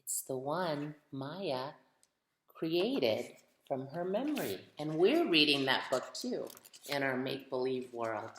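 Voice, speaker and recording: A woman's voice: rumbling low, sing-song, slow, a bit bright. A woman reading a picture book aloud to children, expressively, putting questions to her young listeners. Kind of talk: storytime read-aloud for children. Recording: roomy, echoing sound.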